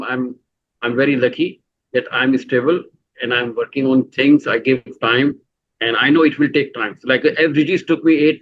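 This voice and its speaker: A middle-aged man with a Pakistani accent, answering interview questions in a halting way, full of "you know" fillers and restarts. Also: mid-range voice, lo-fi sound. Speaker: guest.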